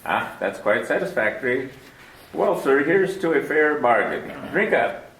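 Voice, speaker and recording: A smooth, scheming villain in an old radio play, purring with unhurried, genteel menace. His pitch swings widely as he coaxes and threatens. The old broadcast recording sounds roomy. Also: sing-song, heavy background noise. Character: smooth, scheming older male villain, fat man. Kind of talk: 1940s radio acting.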